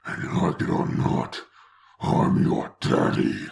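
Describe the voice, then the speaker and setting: A man voicing a grandiose, taunting demon lord in a comic dub, with a gravelly, theatrical delivery. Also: slow, a little mellow, close to an everyday voice. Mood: scolding, domineering. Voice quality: gravelly, creaky. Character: grandiose demon lord, menacing mocking father of hellspawn.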